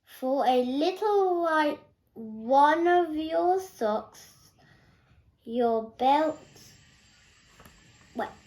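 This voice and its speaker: A young girl with a high voice reads a picture book aloud. Her pace is extremely slow and careful, and she halts over words, repeats them and corrects herself as she goes. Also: darkish tone, poor audio. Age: child. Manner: halting, careful reading with stumbles and self-corrections. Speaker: young reader.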